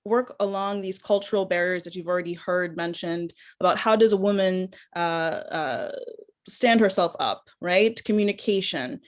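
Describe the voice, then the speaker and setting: A middle-aged woman speaking as a panel guest, her voice pitched somewhat low for a woman. She talks in an earnest, reflective way. She is heard over a video call. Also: normal speed. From Kenya. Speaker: panelist, public health researcher. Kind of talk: panel discussion.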